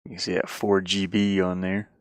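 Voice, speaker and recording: A man's voice: sits low, flat delivery, normal speed, no room sound. A man streaming casually, rambling and thinking aloud as he goes. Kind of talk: casual live stream chat. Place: inside a van he lives in.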